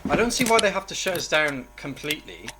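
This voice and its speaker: A man's voice: fairly high pitch, sing-song, fast, very noisy. A man playing an uneasy, sceptical 1930s television sound engineer in an audio drama, questioning and technical-minded.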